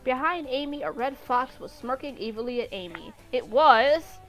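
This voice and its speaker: A woman's voice: high-pitched, moderate pace, fairly bright tone. A woman narrating fan fiction and breaking off for irreverent, informal asides. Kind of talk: story narration with casual asides. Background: music.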